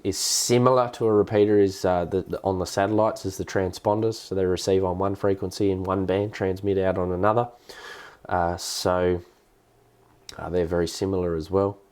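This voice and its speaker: A man with an Australian accent and a cold, explaining things casually and haltingly as the host of a hobbyist live stream. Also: sits low, darkish tone. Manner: halting, hesitant delivery with repeated words. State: has a cold.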